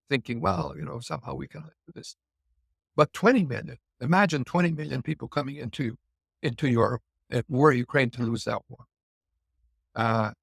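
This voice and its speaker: A man's voice: mid-range voice, creaky, husky, no room sound, clean studio sound. A middle-aged Canadian man explaining points thoughtfully in a conversational discussion, with frequent fillers and restarts. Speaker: guest immigration commentator.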